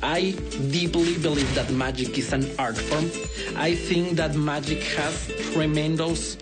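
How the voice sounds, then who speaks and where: A man's voice: fairly high pitch, fairly even intonation, a little slow. A man from Ecuador with a Latin American Spanish accent, talking earnestly and a little wryly as a magician in a profile interview. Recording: loud music under voice. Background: loud music.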